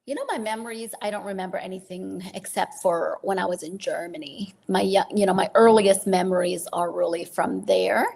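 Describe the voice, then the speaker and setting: A woman's voice: mid-range voice, steady tone, a little fast, vocal fry, hardly any pauses. A woman interview guest, talking in a rambling, laughing way about her early life. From born in the Philippines to Vietnamese parents. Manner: warm and laughing often, rambling restarts. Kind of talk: interview storytelling.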